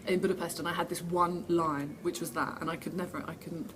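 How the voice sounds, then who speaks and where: A woman's voice: fairly low pitch, fairly quick. A woman actress giving casual, chatty interview answers in a self-deprecating way, reading the questions out herself. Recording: steady background noise.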